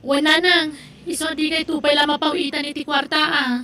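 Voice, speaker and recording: A woman's voice: high-pitched, level tone, fairly quick, pushed and bright. A woman with an Ilocano accent acting as a guarded, aloof wife and mother in an audio drama. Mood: polite.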